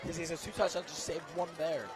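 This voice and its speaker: A young man with a hoarse, strained voice doing excitable live play-by-play commentary outdoors at a football game. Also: a little high, fast talker, music in the background. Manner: excitable, shouts on big plays. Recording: loud outdoor stadium crowd noise.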